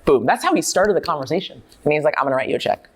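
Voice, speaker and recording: Low-voiced, self-assured woman telling her story. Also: lively intonation, very fast, husky. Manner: emphatic, self-assured, candid. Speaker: company founder and chief executive, dietitian. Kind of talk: on-stage interview, personal storytelling.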